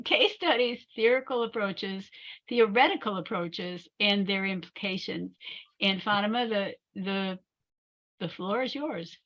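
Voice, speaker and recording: A woman's voice: a little high, a bit bright, muffled recording. A woman moderating an online session, reading an abstract aloud with small stumbles before handing over to the speaker.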